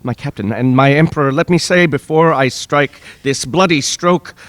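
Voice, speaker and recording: An actor playing a Roman general's loyal, reluctant servant, earnest and deferential in formal Shakespearean stage verse. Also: male voice, fairly high pitch, moderate pace, dry recording, natural voice. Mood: sorrowful, devoted. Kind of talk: classical Shakespearean stage acting.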